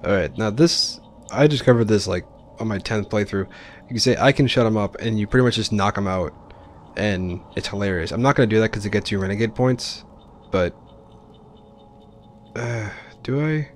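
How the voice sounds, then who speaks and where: A man's voice: fairly low pitch, moderate pace, a little hiss or hum. A man giving casual, explanatory let's play commentary on a video game. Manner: explanatory, informal.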